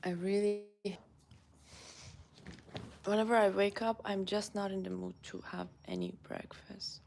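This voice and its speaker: A woman's voice: mid-range voice, steady tone, unhurried, gravelly, a little mellow, slight background noise. A young woman from Iran with a Persian accent, chatting casually to viewers while having breakfast at home. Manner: chatty, thinks aloud while eating. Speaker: vlogger. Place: home kitchen, indoors.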